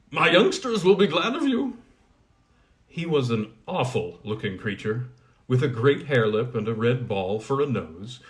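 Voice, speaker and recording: A man reading a fairy tale aloud with expressive, lively storytelling. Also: medium pitch. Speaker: audiobook reader. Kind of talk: storybook narration.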